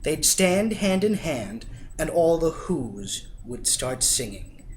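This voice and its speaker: Slow, theatrical male storybook narrator. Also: a little high, sing-song, slight background noise. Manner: theatrical, emphatic, swelling and dropping for effect. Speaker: storybook reader. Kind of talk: expressive rhyming children's story narration.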